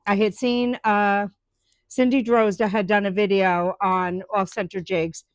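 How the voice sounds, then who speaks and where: A woman's voice: medium pitch, level tone, measured pace, no room sound, studio quality. A woman chatting live with viewers about her woodturning, rambling with lots of ums and restarts. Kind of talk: casual live-stream chat.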